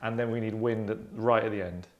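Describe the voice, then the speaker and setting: A man in his thirties hosting a casual group discussion, talking in a hesitant, rambling, deadpan way. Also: fairly low pitch, a little fast, narrow, tinny audio. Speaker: host and narrator of a table-read show. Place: small furnished lounge, indoors.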